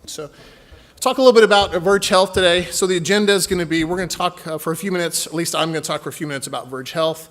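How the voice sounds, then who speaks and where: A man with a light Texan drawl presents his company to a conference session. He speaks in a slightly high voice and keeps a loose, joking rapport with the room. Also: fast. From Texas. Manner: chatty, jokey with the audience. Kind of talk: conference talk.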